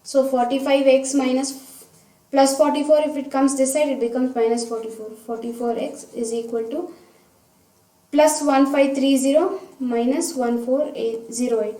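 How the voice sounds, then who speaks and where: Female teacher, high soft-toned voice, methodical step-by-step delivery, recorded at a distance from the mic. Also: steady tone, normal speed. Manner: methodical, talking through each step aloud. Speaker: science teacher. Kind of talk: step-by-step worked problem explanation. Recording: roomy echo, distant microphone.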